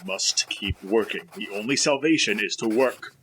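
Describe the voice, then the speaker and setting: Man as a sharp, stern, obsessed chemist. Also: on the low side, moderate pace, a little hiss or hum. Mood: stern, driven. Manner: curt, commanding, impatient. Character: stern, obsessed, irritable male chemist and master. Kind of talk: retro 1940s-style radio drama acting.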